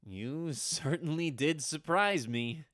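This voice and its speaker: A man's voice: fairly high pitch, slow, fairly bright tone, no room sound, clean studio sound. An actor playing a nervous, henpecked old man with a hesitant, stammering delivery in an old-time radio drama. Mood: nervous, flustered. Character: nervous, henpecked old man recalling his witch wife.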